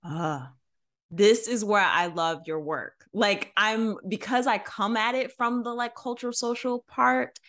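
A woman's voice: medium pitch, measured pace, fairly bright tone. A woman hosting a casual interview, enthusiastic and chatty, with many fillers. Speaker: podcast host.

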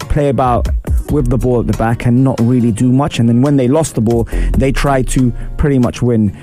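A man with a husky voice hosting a radio show, chatting casually through a football match review and greeting listeners. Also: mid-range voice, steady tone, normal speed, soft background music. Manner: chatty, shout-outs to listeners. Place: radio studio.

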